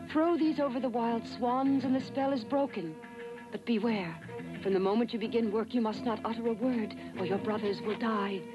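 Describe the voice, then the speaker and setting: A woman voicing a solemn fairy in a dubbed cartoon fairy tale, speaking in a measured way over music. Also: fairly high pitch, lightly acted, low-quality recording. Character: solemn female fairy giving grave instructions.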